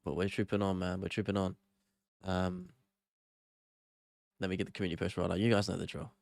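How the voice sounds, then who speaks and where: A young man hosting a casual live stream, sick with a cold so he sounds nasal and gravelly, chatting and apologizing as he reads out viewer comments. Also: low voice, a little fast, no room sound, clean studio sound. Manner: apologetic, relaxed, chatty, thinking out loud. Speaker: MMA live-stream host.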